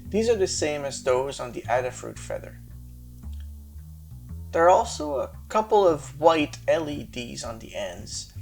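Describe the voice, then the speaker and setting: A man with a slightly gravelly voice explains his hardware project in a measured, methodical tech demo narration. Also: on the high side, darkish tone. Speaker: maker presenting his hardware and firmware project.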